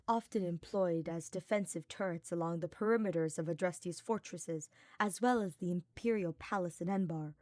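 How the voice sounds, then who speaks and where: A woman's voice: fairly low pitch, a little mellow, dry recording, clean studio sound. A woman reading scripted fiction aloud as a narrator. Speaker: audiobook-style fan fiction narrator.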